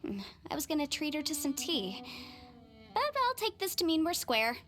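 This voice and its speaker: A woman's voice: high voice, very animated, moderate pace, a bit bright. A woman voicing a courteous, formal young female advisor in a game cutscene. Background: game music.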